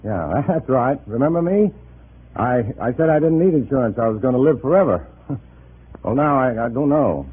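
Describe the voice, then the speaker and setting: An actor playing a nervous, hesitant undercover agent posing as a loyal comrade in a 1950s radio drama. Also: male voice, medium pitch, expressive, normal speed, slightly rough. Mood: strained, falsely cheerful. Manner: hesitant, stammering under pressure. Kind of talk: 1950s radio drama acting with first-person narration. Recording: tinny, noisy old radio recording.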